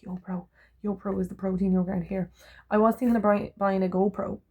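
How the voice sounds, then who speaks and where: A woman chatting casually to her camera in a rambling vlog, her voice a little hoarse and phlegmy. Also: mid-range voice, flat delivery, brisk, no echo, phone-quality sound. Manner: chatty, rambling, restarts mid-word. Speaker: vlogger.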